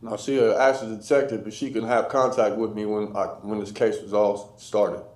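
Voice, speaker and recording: American guy defendant in court, kinda low voice, defensive plain talk, echoey room. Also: flat delivery, normal speed, darkish tone. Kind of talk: answering questions in court. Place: indoor courtroom.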